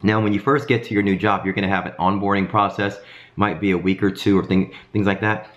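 A man in his thirties, a bit hoarse from a cold, giving casual advice to camera from his home kitchen and checking in with "okay?" as he goes. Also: fairly low pitch, brisk, dull audio. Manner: animated hand gestures, frequent "um" and "okay?". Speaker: design career vlogger.

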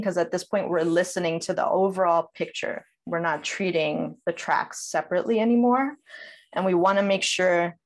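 Woman, lowish pitch, conversational explainer, online presentation, video call audio, instructional. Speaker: audio producer giving a presentation. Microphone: video call microphone.

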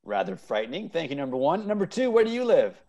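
A man's voice: a little high, big pitch swings, fast talker, dark tone, dull audio. A man in his thirties hosting a playful game show over a video call, upbeat and often repeating answers back. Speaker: improv teacher and game show host. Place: indoor room at home, on a video call.

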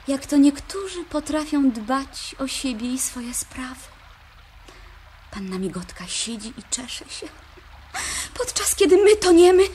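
A disapproving, envious female neighbour in a radio play. She speaks high and slowly, with sniffy sarcasm. There is faint noise from an old vinyl record.

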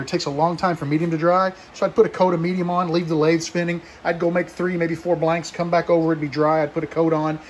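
A man in his fifties talks to his viewers in an easygoing, chatty way, dropping plenty of "uh" fillers as he goes. His voice sits a little high for a man. He films himself at arm's length inside his home woodshop. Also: slight background noise. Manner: relaxed, chatty, lots of "uh" fillers. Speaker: woodworking channel host. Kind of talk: casual shop update vlog. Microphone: camera held at arm's length.

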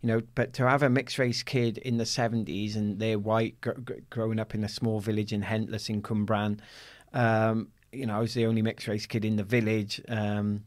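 A man in his forties with a Newport, South Wales accent, a guest telling lively stories in a podcast studio, full of fillers and laughter. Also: on the low side, normal speed, hardly any pauses. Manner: animated storyteller, laughs often, many fillers. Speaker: guest, former athlete. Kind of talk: casual interview chat. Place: small padded podcast studio. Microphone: studio mic on boom arm.

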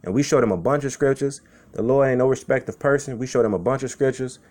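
A man's voice: medium pitch, quick pace, darkish tone. Casual-talking man preaching scripture. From Chicago. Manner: conversational, repeats "man" and "okay". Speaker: street preacher. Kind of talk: informal sermon with scripture reading.

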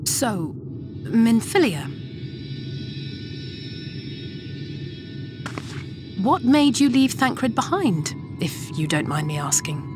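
A poised, expressive fantasy adventurer. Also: female voice, on the high side, measured pace. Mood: probing. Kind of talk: dramatic video game voice acting. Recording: music behind the voice.

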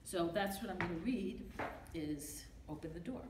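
Older woman, strong Brooklyn accent, rhythmic poetry reader, lowish voice, roomy hall sound with faint noise. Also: moderate pace. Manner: emphatic, rhythmic, repeating refrains. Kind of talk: reading a poem aloud. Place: library meeting room. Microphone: podium mic from a far camera. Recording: echoey room with faint noise.

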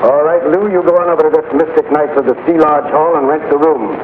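An actor playing a brisk, commanding male counterfeiting gang boss in a 1950s sitcom. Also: on the high side, roomy sound, close to an everyday voice. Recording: tinny old film soundtrack with hiss.

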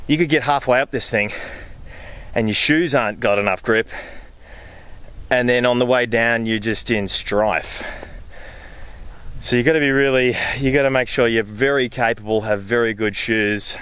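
An Australian man in his thirties chatting casually and excitedly to camera outdoors, in exclamatory, slangy speech. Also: mid-range voice, moderate pace, clear, resonant tone. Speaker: vlogger. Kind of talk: casual vlog chat to camera. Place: outdoors on a mountain trail and car park. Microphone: handheld camera at arm's length.

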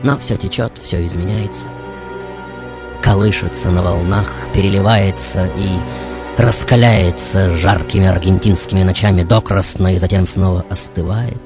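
A man playing a passionate aging tango composer in a poetic, theatrical radio monologue with dramatic pauses. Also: low voice, steady tone, voice pushed down. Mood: wistful, poetic. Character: passionate aging male tango composer looking back on his life. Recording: loud music behind the voice.

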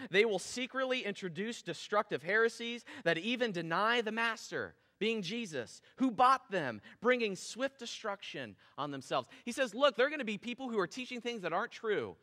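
Man in his thirties, pastor, bright, casual animated sermon, reverberant church hall. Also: high-pitched, moderate pace, few pauses. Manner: casual, animated preaching with gestures. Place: church stage with drum kit.

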